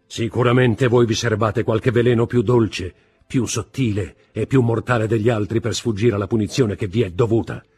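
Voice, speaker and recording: A man's voice: fairly low pitch, expressive, breathy edge. A man voicing a stern, merciless magistrate and husband in an audiobook drama, commanding and contemptuous as he interrogates. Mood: grim, relentless. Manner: commanding, contemptuous, deliberate interrogation rising to shouts.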